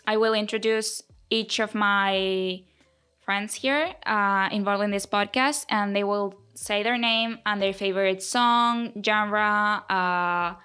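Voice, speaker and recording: A woman's voice: mid-range voice, fairly bright tone, clean tone. A young woman with a Spanish accent hosting a student podcast, hesitant and full of fillers.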